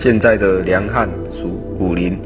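A young man as a low-voiced, formal court minister. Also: steady tone, normal speed, close to an everyday voice. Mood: explanatory. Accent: Taiwanese Mandarin. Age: young adult. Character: protesting, formal royal court minister, male. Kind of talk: student radio drama acting. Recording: dull lo-fi audio, music bed.

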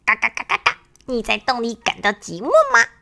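A woman voicing a cautious adult male bird who punctuates his talk with a loud honking cackle. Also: fairly high pitch, wildly swooping pitch, fairly quick, creaky, exaggerated voice. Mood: curious.

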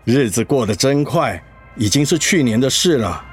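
An actor playing a devoted, worried husband in a radio drama, earnest, affectionate and soothing. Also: male voice, mid-range voice, lively intonation, moderate pace, slightly rough, soft background music. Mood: wistful. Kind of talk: Mandarin radio drama acting.

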